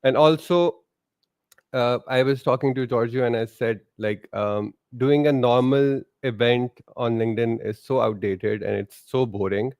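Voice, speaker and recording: A man's voice: mid-range voice, fairly even intonation, unhurried, darkish tone, clear, resonant tone, no echo. A man from Pakistan, co-hosting a live stream with teasing, self-mocking humor. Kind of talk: casual live-stream chat.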